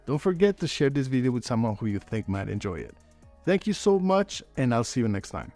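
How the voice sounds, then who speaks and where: A man's voice: medium pitch, very animated, fairly quick, warm tone, soft background music. A man hosting his own channel as an inventor, narrating a scripted video update to viewers. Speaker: host and inventor.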